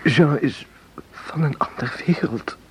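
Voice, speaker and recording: Radio play rebel,: wounded and weary, very slow, laboured, earnest delivery, clearly noisy recording. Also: male voice, on the high side. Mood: weary, idealistic. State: weak and in pain, acted. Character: wounded, weary idealistic rebel, protective brother. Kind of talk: Dutch radio drama acting.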